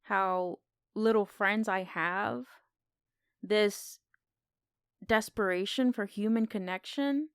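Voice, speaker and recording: A woman's voice: mid-range voice, takes it slowly, pauses often, smooth, no room sound, clean studio sound. A woman co-hosting a podcast, discussing film in a casual, conversational way. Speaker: podcast co-host.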